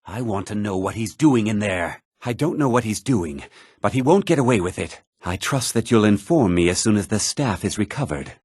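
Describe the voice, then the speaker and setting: A man playing a grave older mage in a video game, with a measured, formal, theatrical delivery. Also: lowish voice, expressive, a little mellow, slightly rough, dry recording, studio quality. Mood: commanding, alarmed. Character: grave older male mage, stern college leader, haunted by guilt. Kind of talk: dramatic video game voice acting.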